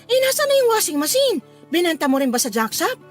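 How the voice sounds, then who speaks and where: A woman playing a caring, inquisitive housewife and mother in a radio drama, homely and expressive. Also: extremely high pitch, fast, soft background music. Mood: caring, curious. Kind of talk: Filipino radio drama acting.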